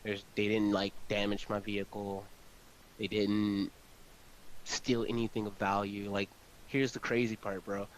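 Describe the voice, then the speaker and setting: A man's voice: fairly low pitch, level tone, a little slow. A man chatting casually in a rambling, repetitive, slangy way. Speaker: amateur audio drama producer. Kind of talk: casual chat. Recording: hissy, noisy home microphone.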